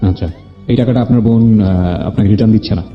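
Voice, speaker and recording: A man's voice: lowish voice, quick pace, muffled recording, soft background music. A man with a Bangladeshi accent hosting a conversational interview show, speaking emphatically, repeating phrases and dropping in English words. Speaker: radio show host. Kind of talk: conversational interview and commentary to listeners.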